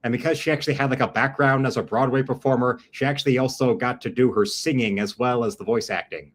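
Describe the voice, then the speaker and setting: A man's voice: mid-range voice, fairly even intonation, fast talker. A man chatting casually and opinionatedly while drawing on an art livestream. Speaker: art streamer.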